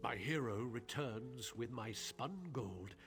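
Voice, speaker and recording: An actor playing a scheming, haughty silk merchant in a video game, with a theatrical, wheedling and spiteful delivery. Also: male voice, medium pitch, slow pace, lightly acted. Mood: smooth, disbelieving. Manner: theatrical, pleading turning to sneering contempt. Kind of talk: dramatic video game voice acting. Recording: game audio with faint music.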